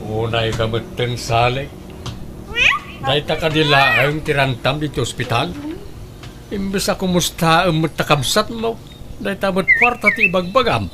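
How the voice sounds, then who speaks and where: A man with an Ilocano accent playing a kind but exasperated middle-aged husband in a comic radio drama, animated and grumbling. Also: a little high, a little slow. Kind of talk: comedic radio drama acting. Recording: very loud background noise.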